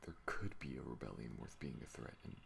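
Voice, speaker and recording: A man playing a protective spaceship pilot on the run in an intimate ASMR audio roleplay, speaking earnestly and haltingly. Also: rumbling low, expressive, creaky, fairly bright tone, exaggerated voice. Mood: conflicted, tender.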